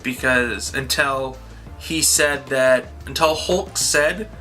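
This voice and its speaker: A man's voice: mid-range voice, slow, bright, forceful tone, soft background music. A man co-hosting a movie review in an informal, conversational style. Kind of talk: casual film discussion.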